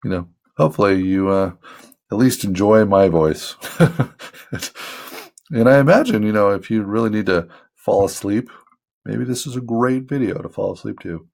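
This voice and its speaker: Low, gravelly-voiced man chatting casually. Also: moderate pace. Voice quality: soothing voice. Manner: rambling, relaxed, self-deprecating, many fillers. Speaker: hobby artist vlogger. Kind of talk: casual talk while drawing.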